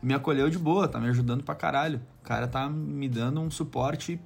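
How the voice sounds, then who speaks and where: A man with a southern Brazilian accent talks casually at a middling pitch, with faint music behind him. He is slangy and sweary, telling anecdotes and voicing other people's remarks as he goes. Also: a little fast, gravelly. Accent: southern Brazilian Portuguese. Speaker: barber living abroad as an immigrant.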